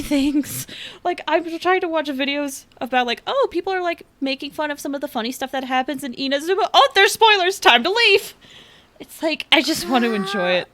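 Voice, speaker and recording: A woman's voice: high-pitched, a bit bright. A woman hosting a game livestream, chatting casually and animatedly and sometimes muttering to herself as she plays. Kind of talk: casual livestream chat while playing a game.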